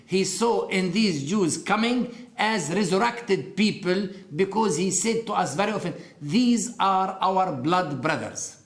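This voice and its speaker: A man in his sixties with an Arabic accent, giving earnest, deliberate personal testimony in an interview. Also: high voice, echoey room. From Upper Galilee, Israel. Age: 60s. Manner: earnest, deliberate storytelling with emphatic pauses.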